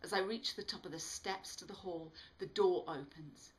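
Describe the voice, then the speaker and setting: A woman with a slightly low-pitched voice performs as an author reading her own work. Her storytelling is expressive and dramatic, shaped for listeners following a narrative. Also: normal speed. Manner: animated, dramatic delivery. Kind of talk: expressive storytelling read-aloud.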